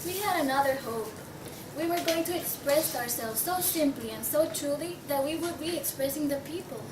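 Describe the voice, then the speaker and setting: A woman's voice: sits high, moderate pace, distant mic, natural voice. A woman playing an earnest, idealistic journal worker, reading in a declamatory way at a table read of a stage play. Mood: wistful, reproachful. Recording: echoey room from afar, clear noise.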